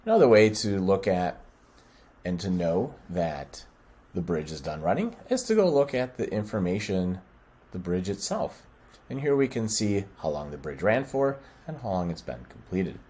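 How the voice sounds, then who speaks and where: A middle-aged American man presenting a software walkthrough, explaining step by step in short, halting phrases. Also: low-pitched, husky. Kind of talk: screen-share product walkthrough.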